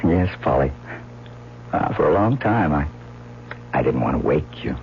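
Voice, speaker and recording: Dull vintage radio sound frames a young male lover with a low voice. He is earnest and halting, with dramatic rises and falls. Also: lively intonation, slight background noise, full character voice. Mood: tender. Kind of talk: old-time radio drama acting.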